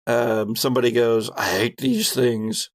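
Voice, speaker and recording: A man with a creaky, gravel-edged voice runs a horror tabletop game as game master. He speaks conversationally and paints scenes in descriptive detail, recorded close and dry. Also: medium pitch, fairly even intonation, unhurried, no room sound. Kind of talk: narrating a tabletop horror role-playing game.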